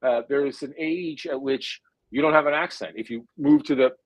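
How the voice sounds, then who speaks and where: A man's voice: medium pitch, no room sound. Interview guest, man in his 40s, New Jersey accent, hesitant and reflective delivery, mid-range voice. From India. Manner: thoughtful, hesitant, full of drawn-out "uh" fillers. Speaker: guest neuroscientist. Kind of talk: conversational interview answer.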